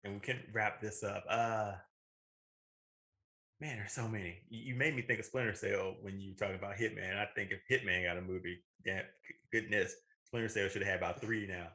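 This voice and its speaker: A man's voice: fairly low pitch, steady tone, a little fast, pushed and bright. A man co-hosting a movie talk show, chatting casually. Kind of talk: casual chat.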